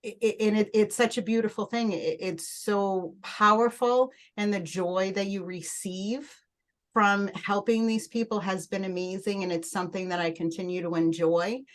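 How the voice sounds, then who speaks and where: A middle-aged woman with a Portuguese accent, a mortgage loan originator introducing herself on a podcast in an earnest way, with many halting restarts. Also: medium pitch, steady tone.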